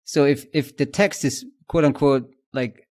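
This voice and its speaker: A man's voice: medium pitch, fairly even intonation, unhurried, a little mellow. A man with a Swiss German accent, co-hosting a podcast and thinking aloud in casual industry chat, with many fillers and restarts. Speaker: podcast co-host.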